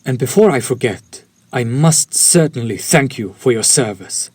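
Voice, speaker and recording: A man's voice: mid-range voice, animated, normal speed, a little mellow, slightly rough, no room sound. A man playing a courteous, lovesick, centuries-old vampire in an intimate audio roleplay, with formal, old-fashioned and pleading speech. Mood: apologetic, formal. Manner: formal, archaic, ornate, apologetic phrasing. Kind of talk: scripted romantic audio roleplay, intimate direct address.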